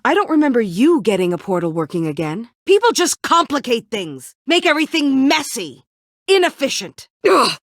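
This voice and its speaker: A woman's voice: high voice, very animated, moderate pace, no echo, clean studio sound. An actress playing a bitter, world-weary woman survivor set on vengeance in a story video game, brooding, curt and dryly sardonic. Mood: tense, indignant. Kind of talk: dramatic video game voice acting.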